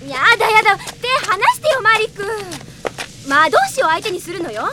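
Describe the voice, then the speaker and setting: A woman playing a feisty, headstrong young female mage in an audio drama, sharp-tongued and emotionally expressive. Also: very high voice, normal speed, heavy background noise. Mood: bossy, exasperated. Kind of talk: Japanese anime audio drama acting. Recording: music behind the voice.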